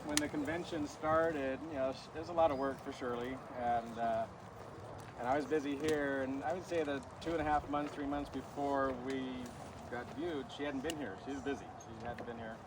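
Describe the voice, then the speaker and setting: A man's voice: medium pitch, steady tone, moderate pace. Distant man reminiscing outdoors amid noise. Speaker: guest giving a tribute. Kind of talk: casual reminiscing. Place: outdoors in an iris garden. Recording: distant, noisy outdoor recording without microphone.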